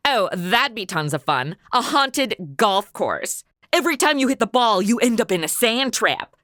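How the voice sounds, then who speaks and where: A woman's voice: fairly high pitch, big pitch swings, normal speed, a bit bright, no room sound, studio quality. An actress playing a brash, carefree young woman in a comedy audio drama, slangy and bossy. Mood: excited.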